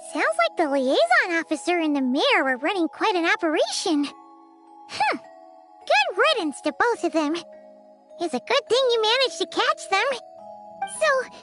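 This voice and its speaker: A woman voicing a tiny, chirpy, inquisitive floating girl companion in a video game, with a squeaky childlike voice and perky manner. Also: heavily acted. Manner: refers to herself in third person, perky.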